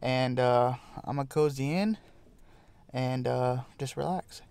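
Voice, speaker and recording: A man's voice: mid-range voice, unhurried, creaky, darkish tone, smooth. A young adult man with a North American accent, chatting casually and enthusiastically to camera as a camping vlogger inside a parked vehicle. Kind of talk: casual vlog chat to camera. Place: inside a parked truck at night.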